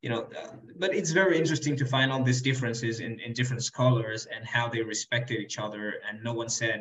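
A man's voice: medium pitch, fairly even intonation, fast talker, a bit bright. A young man, a guest Arabic teacher on a video call, talking earnestly and advising viewers, often repeating words as he goes. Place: indoor room. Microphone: desk microphone on video call. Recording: roomy, dull video-call audio.

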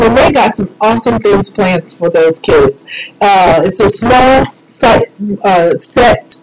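A woman's voice: on the high side, rough recording. A woman hosting a solo show, chatting in a casual, rambling, folksy and informal way. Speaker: radio show host. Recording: loud music behind the voice. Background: loud background music.

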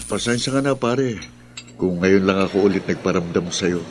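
A man's voice: low-pitched, normal speed, over music, natural voice. An actor with a Filipino accent playing a young man, a grumbling buddy who works as an online creator, in a radio drama. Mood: apologetic, explaining. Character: aggrieved male buddy, a struggling online content creator.

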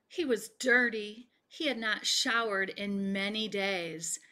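A woman in her forties reading a children's picture book aloud and explaining it, expressively and with deliberate pauses. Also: mid-range voice, pushed and bright. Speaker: read-aloud storyteller. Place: home kitchen, indoors.

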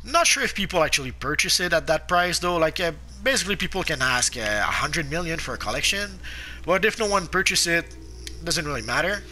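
Bright-voiced man with a sore throat. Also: on the high side, lively intonation, a little hiss or hum. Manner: halting, frequent "uh" fillers and restarts. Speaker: gameplay guide narrator. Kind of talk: casual game commentary.

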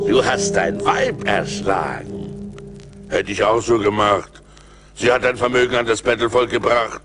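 Cunning giant forest spirit, theatrical radio villain, swooping pitch, music behind. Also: male voice, creaky. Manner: taunting, drawn-out, theatrical. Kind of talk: 1980s German radio play acting.

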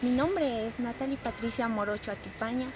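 A woman's voice: a little high, moderate pace. Teenage girl, proud invitation. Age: teens. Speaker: pageant candidate. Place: outdoor courtyard. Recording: loud outdoor noise.

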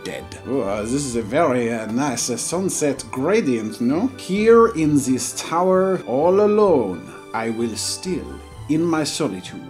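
A man's voice: medium pitch, big pitch swings, unhurried, hardly any pauses, a little mellow, faint music behind. A man voicing a melodramatic, pompous French artist with an exaggerated fake French accent, hosting game commentary in character. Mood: theatrical, grandiose. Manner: theatrical, dramatic, self-mocking. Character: pompous, melodramatic, wine-loving French male artist stuck in tower. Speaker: gaming video host.

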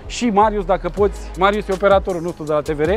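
A man in his thirties presents an outdoor car meet in a joking, energetic way. His voice sits high for a man. Faint music plays underneath. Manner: lively, joking, talks with his hands. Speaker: car show host. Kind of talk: casual on-location presenting.

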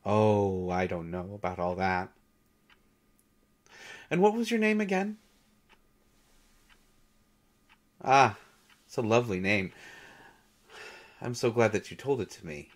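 Audio drama role, male actor: shy male god posing as substitute teacher, lowish voice, very slow halting delivery, gentle storyteller. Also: big pitch swings. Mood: gentle, eager. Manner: hesitant, nervous, halting with soft chuckles. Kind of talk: intimate audio roleplay, storytelling lecture.

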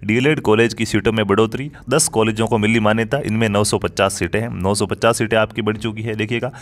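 A man's voice: on the low side, steady tone, fast talker. A man informally explaining education news like a teacher, reading out and commenting, repeating points and addressing viewers directly.

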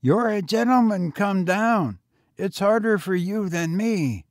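A man voicing a frail, talkative, weary homeless boy of eighteen with a husky, colloquial, insistent way of talking in a story. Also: sits high, expressive, slow pace, no echo, studio quality, voice pushed up. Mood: boastful, knowing.

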